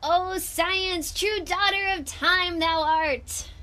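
A woman playing a giddy, over-caffeinated character in an audio drama, dreamily rapturous with drawn-out delivery. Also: extremely high pitch, fairly even intonation, pushed and bright, full character voice. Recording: noisy background.

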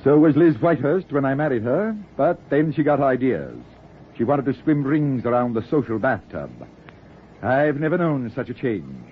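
An actor playing a wry, worldly middle-aged runaway husband with dry, theatrical wit in a 1940s radio drama. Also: male voice, medium pitch, sing-song, moderate pace, natural voice. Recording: tinny, noisy 1940s radio recording.

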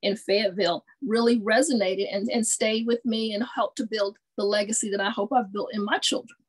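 Husky-voiced woman telling a story on a video call. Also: mid-range voice, steady tone, brisk, poor audio. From American South. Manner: warm storytelling with many fillers. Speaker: panelist. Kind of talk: panel discussion on video call. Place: home room with bookshelves. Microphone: video call webcam.

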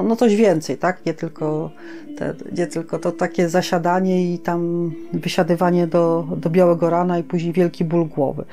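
A woman co-hosting a podcast in casual conversation, halting, repeating words and often checking for agreement. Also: fairly low pitch, mellow. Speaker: podcast co-host.

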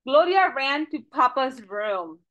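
A young woman reads a picture book aloud to children over a webcam. Her voice is high and bright, and it swings widely in pitch as she questions her young listeners. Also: a little slow. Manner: animated, asks children questions, switches between English and Spanish. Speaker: storytime reader. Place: small office with a Mexico flag poster. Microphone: video call webcam.

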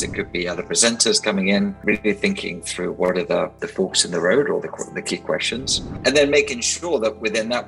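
A man's voice: deep voice, vocal fry, bright, forceful tone, poor audio. A man in his forties, an investor giving informal advice to camera on a video call from a small room. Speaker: startup investor and board member. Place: small attic room indoors. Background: background music.

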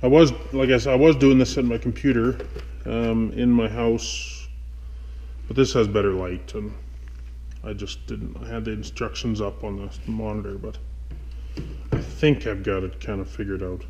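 A man's voice: fairly low pitch, steady tone, moderate pace, warm tone, slight background noise. A man rambling hesitantly as he thinks aloud in a casual, unscripted gear walkthrough. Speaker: motovlogger host. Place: indoors in a garage workshop.

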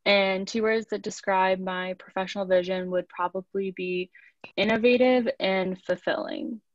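Woman student from near Detroit, brisk, mid voice, dull dry call sound. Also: mid-range voice, fairly even intonation, dry recording. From Detroit suburbs, USA. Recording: muffled dry video call audio.

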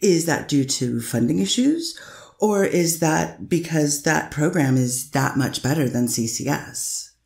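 A woman's voice: low voice, lively intonation, a little slow, vocal fry, a little mellow, reverberant, heavily acted. A woman voicing a careful lawyer, questioning a witness step by step in a courtroom reading. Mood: steady questioning. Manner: methodical questioning, many "is that fair to say". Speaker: defense attorney.

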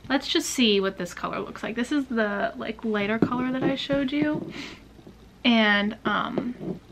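A woman's voice: on the high side, a little slow, very noisy. Chatty young woman, indecisive, sometimes whispering. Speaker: beauty vlogger. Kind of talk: casual chat. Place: small bedroom, indoors.

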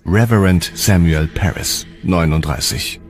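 Very deep male documentary narrator. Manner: measured, serious. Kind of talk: scripted narration, historical documentary. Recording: music underneath.

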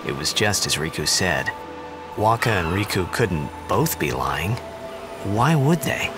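Earnest boyish young game hero, kinda slow and hesitant, swingy pitch, music behind. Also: male voice, sing-song, full character voice. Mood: reflective. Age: young adult. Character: earnest, confused teenage male athlete, stranded far from home. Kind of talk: video game dialogue and reflective narration.

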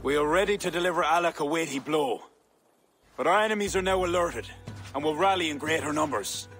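An actor playing a proud, ambitious high king in a game cutscene, speaking with a commanding, regal delivery. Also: fairly quick, fairly bright tone, faint music behind, lightly acted. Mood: resolute. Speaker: king in a war council. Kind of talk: video game cutscene acting.

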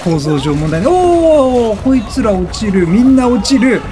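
A man's voice: high-pitched, very animated, a little slow, crowd noise. A man doing chatty, reactive let's-play commentary over a video game, repeating short exclamations. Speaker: gaming streamer. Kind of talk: excitable let's-play commentary, reading game text aloud. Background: video game music.

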